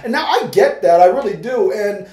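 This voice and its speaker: A man's voice: sits high, moderate pace, echoey room. A man in his forties, a sociology professor, talking animatedly in a casual discussion. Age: 40s. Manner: animated, gesturing. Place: small room, yellow wall.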